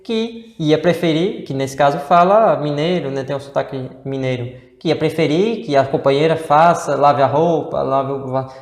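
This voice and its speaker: Male co-host, Northeastern Brazilian accent, rambling explainer, slightly high voice, recorded in a reverberant room. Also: moderate pace, few pauses. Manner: rambling, many fillers and rhetorical questions. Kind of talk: casual explanatory chat. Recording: echoey room with long reverb.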